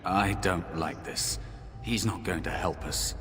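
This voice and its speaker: An actor playing a wary, anxious spirit companion in a video game, speaking in hushed, cautious tones. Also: male voice, deep voice, takes it slowly. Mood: uneasy, wary. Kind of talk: video game character acting.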